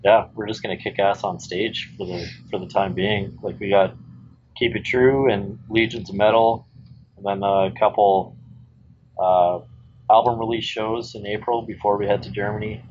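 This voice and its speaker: A man's voice: fairly low pitch, steady tone, normal speed, a little mellow, muffled recording, slight background noise. A man, a band member guest, talking in a laid-back, rambling way and swearing casually. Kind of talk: casual chat.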